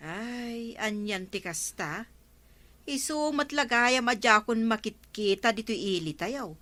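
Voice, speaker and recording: A woman's voice: a little high, expressive, slow pace, pushed and bright, full character voice. A woman playing an indignant village woman in an Ilocano radio comedy drama, scolding and exclaiming in an Ilocano accent. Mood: concerned, disbelieving.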